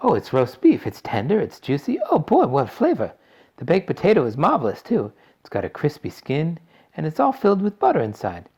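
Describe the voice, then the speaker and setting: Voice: mid-range voice, wildly swooping pitch, a little fast, a little mellow, husky, voice pushed up, full character voice. A performer voicing a brash, stubborn young girl in a storybook, boastful and gushing with emphasis. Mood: delighted gushing.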